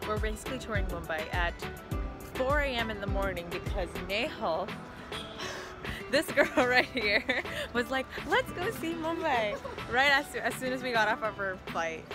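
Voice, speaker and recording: A woman's voice: a little high, animated, moderate pace. A young woman in her twenties chatting casually in a travel vlog, teasing and bubbly, mixing in Hindi phrases. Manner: teasing, bubbly, switches between English and Hindi. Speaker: vlogger. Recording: loud crowd chatter and music.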